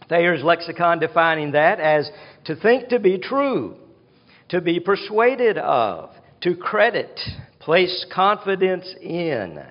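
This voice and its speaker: A male preacher with a fairly high voice speaks at a slow, measured pace, stressing his points through repetition. His voice carries a long hall reverb, and the sound is somewhat dull. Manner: slow, deliberate teaching with emphatic repetition. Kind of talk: sermon.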